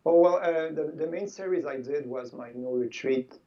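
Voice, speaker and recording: Sixty-year-old man with French first language. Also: on the high side. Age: 60s. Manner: halting, repeats words, relaxed. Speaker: game designer guest. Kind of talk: casual interview chat. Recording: distant, dull lo-fi sound.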